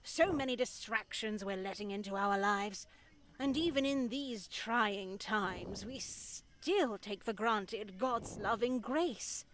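Slow, solemn older nun. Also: female voice, medium pitch, a bit bright, slight background noise, rough recording. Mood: solemn, admonishing. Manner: measured, formal, sermonizing. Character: pious, stern older nun addressing girls in her care. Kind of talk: visual novel voice acting.

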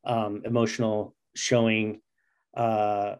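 A man's voice: on the low side, flat delivery, slow, smooth. A man around his forties chatting casually and enthusiastically as an interview guest on a video call. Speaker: comic writer and interview guest. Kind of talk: casual chat.

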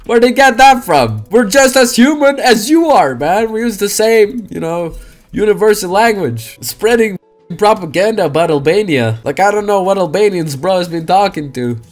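A young man casually commentating his own gameplay on a headset mic, swinging into loud, swearing outbursts. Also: high voice, animated, normal speed, soft background music. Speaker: game streamer. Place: small room indoors.

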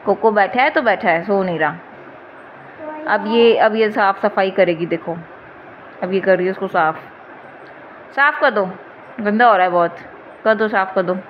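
A woman in her thirties with a slightly hoarse voice, chatting casually in a home vlog. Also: medium pitch, moderate pace, pauses often, muffled recording. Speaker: home vlogger and mother. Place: home, rooftop lawn and indoor rooms. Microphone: phone at arm's length or nearby. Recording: phone recording with background noise.

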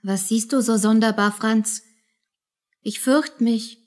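An actress playing a soldier's sharp-tongued young lover in a radio play, retorting with defiance. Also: female voice, on the high side, steady tone, takes it slowly. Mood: uneasy. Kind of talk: German radio play acting.

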